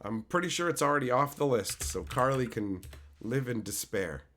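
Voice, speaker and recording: A man, hoarse from a cold, casually chatting with his live-stream viewers and swearing freely. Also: mid-range voice, lively intonation, moderate pace, a bit bright. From Canada. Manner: loose, swears freely, answers chat by name. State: hoarse from being sick. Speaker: live streamer reading chat.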